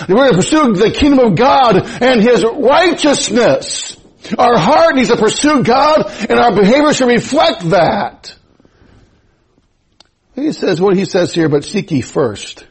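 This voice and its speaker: A man preaching a sermon, a native German speaker, who climbs into high emphatic passages and chuckles between phrases. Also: husky, low-quality recording.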